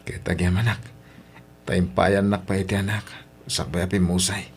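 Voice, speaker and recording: A man's voice: low-pitched, level tone, normal speed, a little hiss or hum. A man with an Ilocano accent voicing James, a young husband who becomes a widowed father in a radio drama, his voice breaking with emotion. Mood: grieving.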